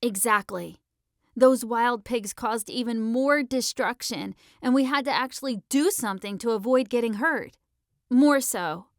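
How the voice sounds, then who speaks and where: A woman playing a sharp, argumentative sixteen-year-old girl in an audiobook, speaking in a slangy, emphatic, insistent way. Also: a little high, unhurried, dry recording, studio quality. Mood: explaining.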